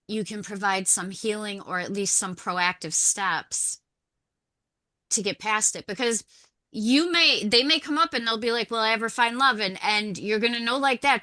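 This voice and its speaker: A woman co-host with a bright, clear voice tells stories in a chatty way and acts out the voices of the people in them. The sound is dry and close, with no room around it. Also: medium pitch, no room sound. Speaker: podcast co-host and tarot reader. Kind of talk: casual podcast conversation.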